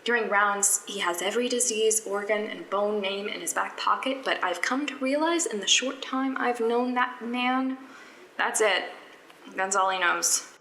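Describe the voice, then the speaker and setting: An actress playing an earnest, anxious young female medical intern in an audio drama diary, rambling and self-conscious with halting phrases. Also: mid-range voice, bright, forceful tone, echoey room, a little hiss or hum, poor audio. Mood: wry, exasperated. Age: young adult. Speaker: actor.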